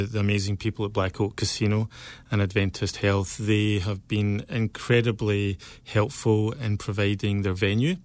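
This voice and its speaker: A middle-aged man with a Scottish accent, speaking as an interview guest in an earnest, enthusiastic and measured way. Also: lowish voice. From Scotland. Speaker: guest, cancer survivor and author. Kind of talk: local interview.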